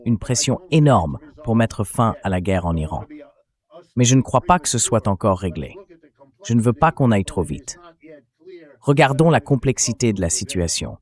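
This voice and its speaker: A synthetic male voice delivering translated interview commentary in a measured, explanatory way, as a political commentator. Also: medium pitch, darkish tone. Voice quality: synthetic text-to-speech voice.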